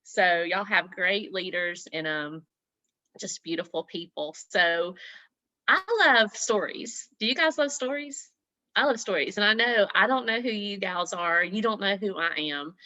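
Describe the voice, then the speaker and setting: A woman's voice: medium pitch, a little slow, sharp tone, dry recording. An older woman with a Southern US accent, a guest speaker chatting on a video call, laughing often. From Southern US (Mississippi, Alabama). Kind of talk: casual talk on a video call. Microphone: laptop on a video call.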